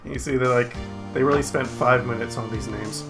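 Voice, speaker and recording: A man's voice: medium pitch, steady tone, moderate pace, vocal fry, over music. A man hosting a gaming video, chatting casually as he plays. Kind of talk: casual game playthrough chat.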